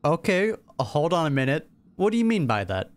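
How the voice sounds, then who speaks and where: A man's voice: a little high, sing-song, brisk, faint music behind. Amused man reacting in slangy chat. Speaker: reaction streamer. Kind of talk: casual reaction commentary over game story.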